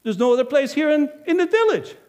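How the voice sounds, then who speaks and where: A man's voice: on the high side, normal speed. A man playing a boastful money-minded innkeeper. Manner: animated, emphatic, swings loud to hushed. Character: middle-aged male innkeeper, money-minded and guilt-ridden. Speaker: actor. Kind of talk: dramatic first-person monologue.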